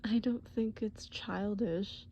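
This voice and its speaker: A woman voicing a tender, worried boyfriend in a comfort roleplay, breathy and often whispering, with halting, soothing speech. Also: mid-range voice, fairly even intonation, mellow, lo-fi sound, slight background noise. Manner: soothing, halting, pet names.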